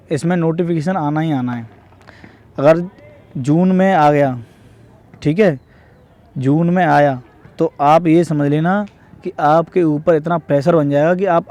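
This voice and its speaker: A man's voice: a little high, warm tone. A young man from northern India giving casual exam advice to viewers, explaining repetitively and often checking that they follow. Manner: repetitive, explanatory, often adds tag questions seeking agreement. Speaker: exam preparation advice vlogger.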